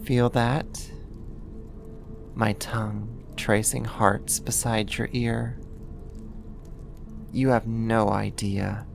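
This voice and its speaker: An actor playing an obsessive, possessive neighbor turned kidnapper in an ASMR roleplay, speaking in a deliberate, intimate, controlling way. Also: mid-range voice, vocal fry. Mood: seductive, possessive.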